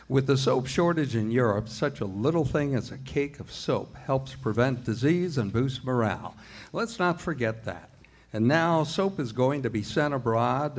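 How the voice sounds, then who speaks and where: A man announcing in 1940s radio style, reading a scripted appeal in an earnest, persuasive manner. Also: mid-range voice.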